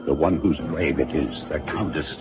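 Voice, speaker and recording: A solemn, commanding father in an old radio play. His voice is very low and firm, on a muffled recording with music underneath. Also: normal speed. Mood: grave, resolute. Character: grave, resolute, protective father. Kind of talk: radio drama acting.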